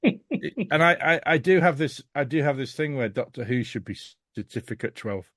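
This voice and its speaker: A man's voice: mid-range voice, moderate pace, dry recording. Exasperated, emphatic man in a dry recording. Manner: exasperated, emphatic, animated storytelling. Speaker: podcast host. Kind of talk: casual podcast review chat.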